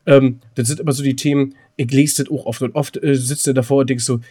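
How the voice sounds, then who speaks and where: A man chats as a podcast co-host at a very quick pace. His voice has an average male pitch. He is informal and colloquial, often doubling his phrases to stress a point. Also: fairly even intonation, warm tone, slightly rough. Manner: colloquial, repeats himself for emphasis. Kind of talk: casual podcast chat.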